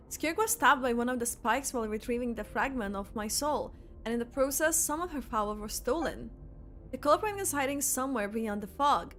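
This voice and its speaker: A woman streamer giving casual, expressive playthrough commentary, hesitant and slangy in how she talks. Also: fairly high pitch, a little hiss or hum. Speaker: gaming streamer. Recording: game music underneath. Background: game music.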